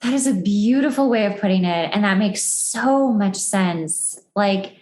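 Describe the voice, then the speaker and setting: A woman's voice: medium pitch, a little slow, darkish tone, echoey room. A woman hosting a podcast in a casual conversational style, enthusiastic and emphatic. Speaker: podcast host.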